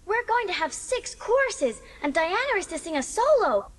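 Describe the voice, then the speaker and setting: A young orphan girl with a very high, piping voice. She is gushing and theatrical, speaking with breathless earnestness in a storybook dramatisation. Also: sing-song, moderate pace, fairly bright tone, heavily acted. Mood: excited, proud. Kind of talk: dramatised audiobook acting.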